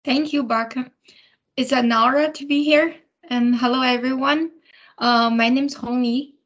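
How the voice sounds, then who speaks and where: A woman's voice: sits high, steady tone, a little slow, a bit bright, highs cut off. Woman guest, Chinese native speaker. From China. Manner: plain storytelling with light laughs. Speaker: software engineering manager. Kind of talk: interview answers.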